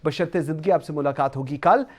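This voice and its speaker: A male talk show host with a Pakistani accent moderates political discussion. His voice sits high for a man, and he slips English phrases into his questions and commentary. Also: fast talker, a little mellow. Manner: switches between Urdu and English phrases.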